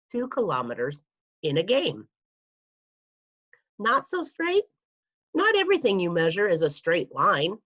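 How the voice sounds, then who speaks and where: Slow, expressive woman reading to children. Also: on the low side. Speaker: school media specialist reading aloud. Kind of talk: read-aloud of a children's nonfiction book. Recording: dull, lo-fi computer recording.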